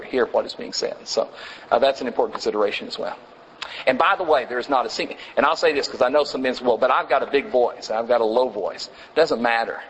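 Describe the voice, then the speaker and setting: A man leads a Bible class in a church, talking casually and stopping often to restart his sentences. His voice sits at an ordinary middle pitch. The room adds a long, hanging reverb behind him. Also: a bit bright. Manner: halting, many fillers and restarts, chuckles. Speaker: preacher teaching a congregation class. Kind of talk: informal Bible class teaching.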